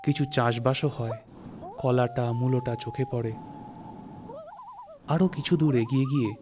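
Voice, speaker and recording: A man narrating a literary story in a dramatic, suspenseful way, with expressive phrasing and deliberate pauses. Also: mid-range voice, noisy background. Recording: studio recording with music. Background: music and night insect sounds.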